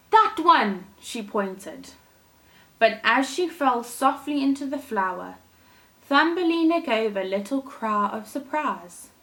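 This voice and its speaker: A woman with a fairly high voice reads as a primary teacher to young children. She takes her time, phrasing each part expressively and deliberately. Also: fairly bright tone. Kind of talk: children's storytelling.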